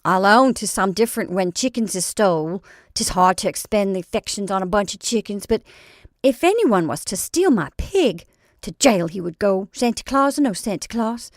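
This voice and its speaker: A woman's voice: mid-range voice, animated, moderate pace, no echo, clean studio sound, pitched above the performer's own voice, lightly acted. A woman voicing a blunt, practical neighbor woman who speaks in a firm, matter-of-fact way.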